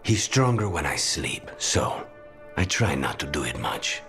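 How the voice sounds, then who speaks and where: A brooding game assassin with an extremely deep, breathy voice. He speaks slowly and with measured, dry menace, weary and guarded, over background music. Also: very animated, full character voice. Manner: measured, understated, dryly menacing. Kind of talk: video game dialogue acting.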